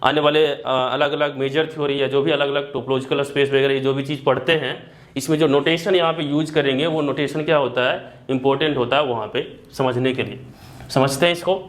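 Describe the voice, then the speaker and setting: An Indian man teaching a maths lesson, mixing Hindi with slowly dictated English notation and often restarting phrases. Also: mid-range voice, fairly even intonation.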